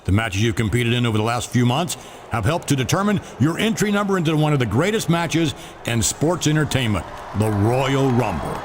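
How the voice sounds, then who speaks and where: A man's voice: mid-range voice, fairly quick, slight background noise, close to an everyday voice. A man playing a formal, authoritative wrestling company boss in scripted game dialogue. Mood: courteous.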